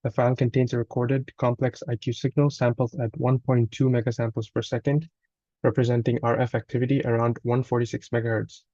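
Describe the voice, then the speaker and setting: Mellow-voiced man reading a technical presentation. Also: medium pitch, level tone, a little fast, no room sound, muffled recording. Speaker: student presenter. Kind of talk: scripted technical narration, read aloud.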